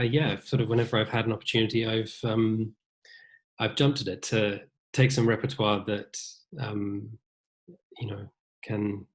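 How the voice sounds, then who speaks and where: Thoughtful low-voiced British-sounding man. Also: poor audio. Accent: British English. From New Zealand. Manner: thoughtful, many restarts and fillers. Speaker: violinist guest. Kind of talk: casual interview conversation.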